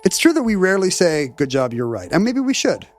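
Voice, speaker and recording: A man's voice: sits high, sing-song, brisk. A middle-aged man co-hosting a podcast, joking around in dry, snarky banter. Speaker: podcast co-host. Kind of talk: casual chat.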